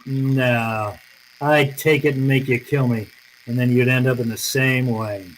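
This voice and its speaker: An actor playing a tough, cool private detective in an old-time radio drama, speaking in blunt, clipped slang. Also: male voice, medium pitch, steady tone, measured pace, a little hiss or hum, lightly acted, low-quality recording. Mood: cool, defiant.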